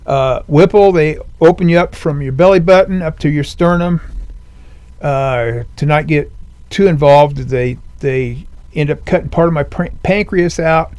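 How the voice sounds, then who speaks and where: An older man speaking as an interview guest, telling his own story in a plainspoken, rambling way full of fillers, his voice high and strained since surgery. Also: a little slow, darkish tone, no echo. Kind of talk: conversational personal story. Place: veteran center studio.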